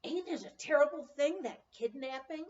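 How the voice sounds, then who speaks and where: A woman voicing an excitable, gossipy country woman in a memoir, exclaiming in a folksy way. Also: sits high, moderate pace, roomy sound, recorded from a distance, muffled recording, slight background noise, pitched above the performer's own voice. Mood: gossipy, excited.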